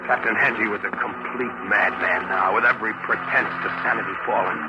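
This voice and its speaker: An actor playing an earnest ship's officer, dramatic and emphatic, narrating and acting in a 1940s radio drama. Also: male voice, on the low side, steady tone, fairly quick, lightly acted, poor audio. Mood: grave, haunted. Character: earnest ship's officer recounting a haunted voyage. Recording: old 1940s radio recording.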